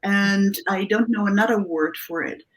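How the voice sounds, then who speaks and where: A woman from the Netherlands with a Dutch accent, a guest coach giving animated interview answers with many restarts and tag questions. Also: medium pitch, moderate pace, rough recording. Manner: animated, thinks aloud with many restarts and "right?".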